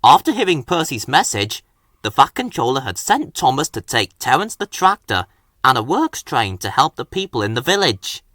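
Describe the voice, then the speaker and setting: A man narrates a children's story in a very animated, expressive read-aloud style. His pitch swings widely across a middle register, and the sound is dry and close. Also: normal speed, no room sound. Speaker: story reader.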